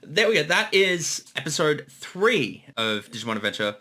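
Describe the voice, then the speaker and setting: A man's voice: a little high, sing-song, moderate pace, pushed and bright. A man giving casual, informal director's commentary as a series creator, with some swearing.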